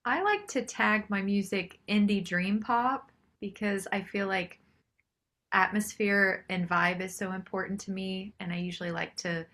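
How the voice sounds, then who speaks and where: A woman's voice: on the low side, fairly bright tone. A woman chatting casually as a singer-songwriter guest in an interview.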